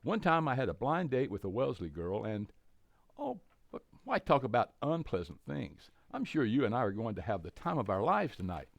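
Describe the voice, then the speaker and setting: Woman playing a low, creaky, cocky young man. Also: big pitch swings, a little fast, no echo, heavily acted. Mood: cocky, eager. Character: cocky, eager young man on a blind date. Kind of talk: radio comedy stage acting.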